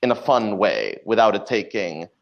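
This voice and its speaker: Low-voiced man, dull lo-fi sound. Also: sing-song, normal speed, creaky. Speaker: guest, podcast drama writer. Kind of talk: casual interview chat. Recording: dull lo-fi audio.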